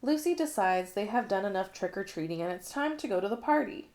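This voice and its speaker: A woman narrating a children's picture book in an expressive read-along style. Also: mid-range voice, a little fast. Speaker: storybook reader.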